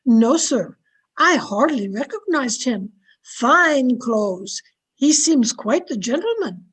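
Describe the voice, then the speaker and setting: A woman playing a devoted servant who tells the story in a radio drama, measured and dutiful. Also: sits high, animated, a bit bright, slightly rough, heavily acted. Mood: respectful. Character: Ellen, devoted old female servant telling a story.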